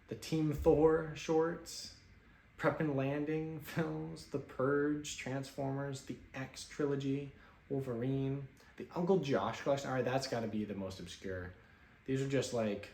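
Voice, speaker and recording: A man casually talking through his film-watching stats with an offhand, relaxed manner and plenty of fillers, recording at home in his apartment. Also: medium pitch, gravelly, echoey room, a little hiss or hum. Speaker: film vlogger. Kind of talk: casual screen-share commentary.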